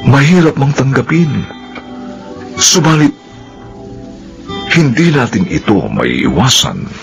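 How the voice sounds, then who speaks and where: A man narrating a radio drama intro in a slow, solemn, drawn-out way. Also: medium pitch, wildly swooping pitch. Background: music.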